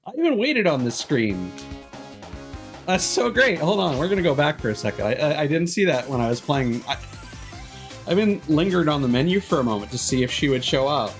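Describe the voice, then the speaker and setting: A man's voice: on the high side, sing-song, fairly quick. A man giving casual, rambling gameplay commentary as a streamer, hesitant and drifting into tangents. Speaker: video game streamer. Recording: music behind the voice.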